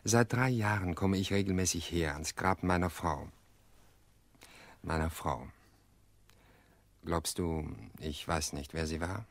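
Radio play: earnest, weary widower, middle-aged. Deep, dry sound, measured delivery. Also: male voice, steady tone, dry recording. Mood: bitter, reproachful. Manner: measured, reflective, hesitant pauses. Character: weary, earnest widower confessing to his sister-in-law. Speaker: actor in an audio drama.

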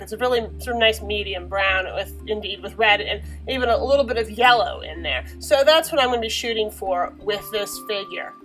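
A young woman, slightly hoarse from a cold, chatting casually through a painting tutorial in a small bedroom, recorded on the camera mic with many fillers. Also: on the high side, a bit bright, rough recording.

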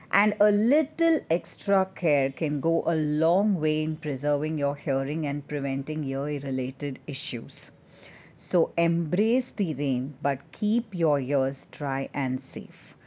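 Female podcast narrator, low register, slow measured delivery, instructional scripted read. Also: expressive, darkish tone. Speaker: podcast host.

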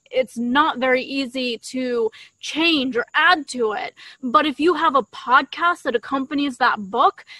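A young woman with a high-pitched voice chats as a podcast co-host. She talks enthusiastically in a casual, conversational way. The sound is dry, picked up by a desktop microphone. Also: a bit bright, no echo, poor audio. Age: young adult. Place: outdoors in a leafy garden. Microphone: desktop condenser mic shared between two.

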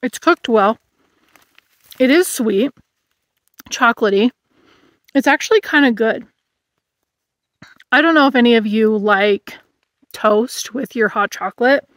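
Woman in her thirties, casual outdoor review, slightly high voice, dry close sound. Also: a bit bright, no echo. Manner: chatty, musing aloud while eating. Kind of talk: casual product review vlog. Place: outdoors in a grassy meadow.

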